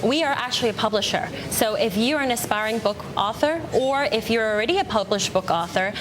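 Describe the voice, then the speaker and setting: A woman's voice: lively intonation, fairly quick. American businesswoman interviewed over background noise. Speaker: guest entrepreneur, company co-founder. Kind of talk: broadcast business interview answers. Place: open TV studio beside a newsroom. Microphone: lapel. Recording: clear background rumble and noise.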